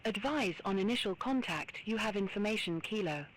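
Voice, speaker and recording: A woman's voice: mid-range voice, lively intonation, brisk, noisy background. A woman voicing an automated airport information broadcast in a formal announcement style, through a radio filter. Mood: impersonal, formal.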